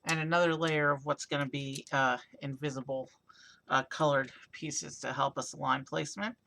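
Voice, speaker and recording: Man, hoarse, raspy, bright tone, gravelly, loose rambling stream chat. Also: a little high, moderate pace, a little hiss or hum. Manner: relaxed, rambling, many fillers. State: hoarse from overuse. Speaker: streamer building a toy car set. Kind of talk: casual live-stream commentary.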